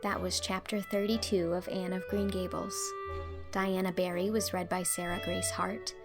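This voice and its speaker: A woman's voice: on the low side, flat delivery, normal speed. Woman narrating a storybook over music. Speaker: audiobook narrator and host.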